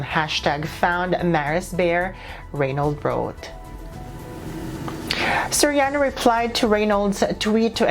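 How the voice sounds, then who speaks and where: A woman reading scripted showbiz news as a reporter. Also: medium pitch. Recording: music bed under the voice.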